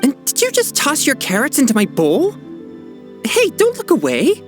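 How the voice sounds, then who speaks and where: Indignant teen boy, high, wildly varied pitch, flustered, anime game. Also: wildly swooping pitch, moderate pace, faint music behind. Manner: flustered, protesting. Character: Chongyun, earnest indignant teenage boy exorcist. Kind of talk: anime game dub acting.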